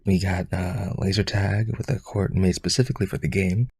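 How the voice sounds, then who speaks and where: Male actor as needy aristocrat vampire for roleplay audio: deep-leaning, heavily raspy, pleading, halting, desperate to please. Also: fairly even intonation, a little mellow, no room sound. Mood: eager, coaxing. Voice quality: husky, creaky. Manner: hesitant, pleading, eager to please. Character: lonely rich male aristocrat vampire, eager, clingy yandere suitor.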